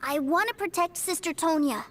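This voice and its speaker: A woman voicing an eager, stubborn little boy in a video game, excitable and pleading. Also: very high voice, moderate pace, slight background noise.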